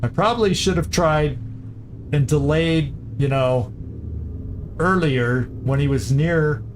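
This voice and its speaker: A man's voice: a little high, slow, slight background noise. A man commentating a strategy game, thinking aloud with hesitant restarts as he plans each move. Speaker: gameplay commentator. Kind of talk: casual let's-play commentary. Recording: game music playing behind.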